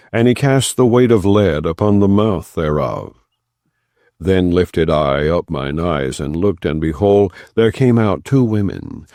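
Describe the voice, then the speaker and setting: A man narrating an audiobook in a solemn, non-dramatized scripture reading style, with measured, deliberate phrasing and pauses between clauses. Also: low-pitched, dark tone, dry recording, clean studio sound.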